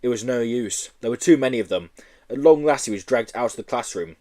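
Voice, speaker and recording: Lively male storybook narrator. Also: medium pitch, a little fast. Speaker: audiobook reader. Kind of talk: expressive children's story narration.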